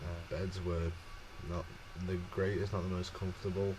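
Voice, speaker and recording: Man rambling through a vlog update, very deep voice, fillers, noisy room ambience. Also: flat delivery, normal speed. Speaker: travel vlogger. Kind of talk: casual morning vlog update.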